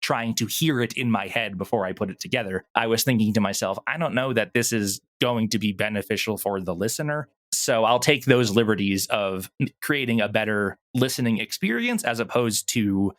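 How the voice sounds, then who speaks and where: A man's voice: fairly low pitch, lively intonation, fairly quick, dry recording. A man speaking casually as an interview guest, explaining his creative work and thinking aloud as he goes. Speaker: interview guest, audio drama producer.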